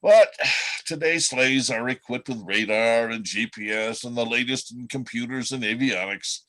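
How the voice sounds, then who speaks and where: A man's voice: medium pitch, normal speed, bright, forceful tone, close to an everyday voice, low-quality recording. A man reading the part of a wry, world-weary old reindeer telling stories in a radio play. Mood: wry, reminiscing.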